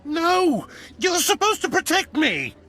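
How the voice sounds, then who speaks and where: An actor playing a fawning, cowardly noble schemer in a video game, with a husky voice and theatrical, ingratiating delivery. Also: male voice, very high-pitched, huge pitch swings, takes it slowly, bright, forceful tone, faint music behind, heavily acted. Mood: panicked. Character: oily, cowardly male noble schemer, fawning and self-serving. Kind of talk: cinematic game voice acting.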